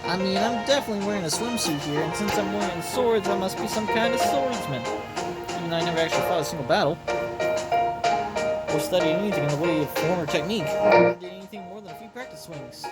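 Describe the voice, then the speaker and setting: A clueless, bluffing young swordswoman read by a man in a fairly high voice. The game lines sound hesitant and self-convincing, over loud music. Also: animated, fast talker, poor audio. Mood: unsure, bluffing. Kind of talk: read-aloud video game dialogue.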